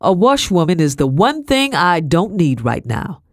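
A woman voicing a weary male colonel of colonial times, stiff and measured, in a storybook reading. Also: lowish voice, very animated, no room sound, studio quality, voice pushed up. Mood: measured, firm.

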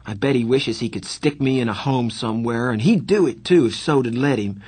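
A man's voice: a little high, animated, slow pace, voice pushed up. A man voicing a bitter, hot-tempered teenage boy in an audiobook, with emphatic, defensive outbursts.

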